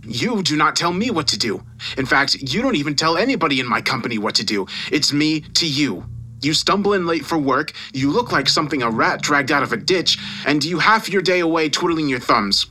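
A man playing a furious, condescending newspaper boss who berates his employee in a dramatised audio story. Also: mid-range voice. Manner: condescending, mocking, forceful.

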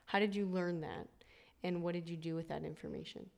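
A woman's voice: lowish voice, fairly even intonation, brisk, creaky. A woman hosting a podcast interview, asking curious follow-up questions. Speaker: podcast host. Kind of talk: casual interview.

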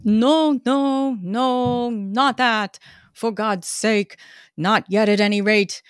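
A woman voicing a grief-stricken, earnest young gentleman in a melodramatic reading of a Victorian gothic novel. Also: fairly high pitch, no echo, studio quality. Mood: pained, pleading. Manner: slow, drawn-out, overwrought melodramatic delivery.

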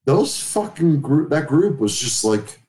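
Thirties male co-host, animated and profane, wide-ranging delivery. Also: fairly high pitch, unhurried. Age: 30s. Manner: animated, swears freely, playful. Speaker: podcast co-host. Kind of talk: casual chat about a TV show.

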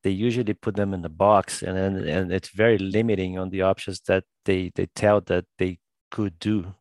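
Male podcast host, low gravelly voice, halting and filler-heavy, dry sound. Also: steady tone, darkish tone, dry recording. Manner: halting, many fillers and repeated words. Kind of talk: conversational interview.

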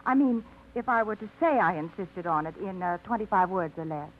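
A woman's voice: mid-range voice, expressive, a little fast, a little hiss or hum, lightly acted. An actress playing a wry, independent young woman tired of routine, with a dry wit, in a 1950s radio drama. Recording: tinny old radio broadcast.

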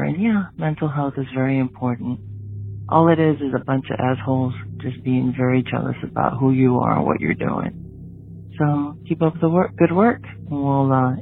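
A woman leaves a casual, slangy voicemail over a narrow phone line. Her voice is gravelly with fry, and she speaks bluntly, with faint noise on the line. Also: rumbling low. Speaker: listener caller leaving a voicemail.

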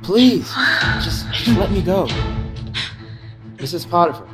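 An actor playing an honest, earnest young servant with proud dreams in an audio drama. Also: male voice, high-pitched, animated, slow. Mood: pleading, panicked. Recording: loud music under the voice.

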